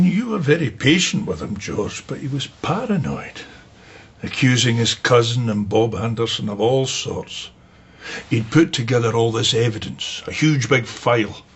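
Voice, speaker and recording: Scottish office guy in a radio play, husky, blunt, matter of fact, muffled. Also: medium pitch, lively intonation, unhurried, exaggerated voice. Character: plain-spoken Scottish office colleague, matter-of-fact and dismissive. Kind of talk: naturalistic radio drama acting. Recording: dull, muffled sound.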